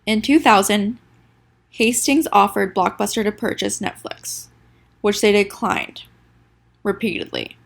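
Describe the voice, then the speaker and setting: A woman's voice: mid-range voice, measured pace, vocal fry, long pauses. A sick young woman with a nasal, stuffy voice, reading her class presentation from a script and stumbling now and then. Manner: reads a prepared script with small stumbles and restarts. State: sick, congested. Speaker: student presenter.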